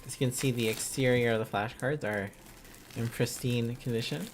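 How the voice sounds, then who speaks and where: A man's voice: mid-range voice, normal speed, noisy background. A man livestreaming in a casual, playful way, thinking aloud as he chats to viewers. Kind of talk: casual livestream study chat.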